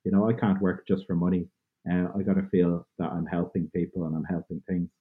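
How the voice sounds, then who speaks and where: A man's voice: low voice, little pitch movement, brisk, breathy edge. A man from Ireland in his thirties, a podcast guest giving earnest, thoughtful answers with lots of fillers. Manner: earnest, thoughtful, loose phrasing with many fillers. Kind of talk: reflective interview answers. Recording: tinny phone-like line.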